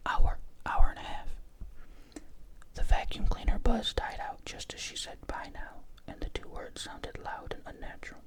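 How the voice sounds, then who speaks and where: Whispering audiobook narrator. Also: extremely high pitch. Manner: steady whispered reading.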